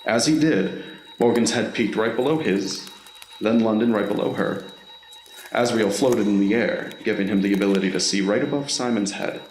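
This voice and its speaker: Low-voiced man narrating, roomy echo. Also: moderate pace, darkish tone, slight background noise. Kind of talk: scripted story narration. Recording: roomy, echoing voice recording.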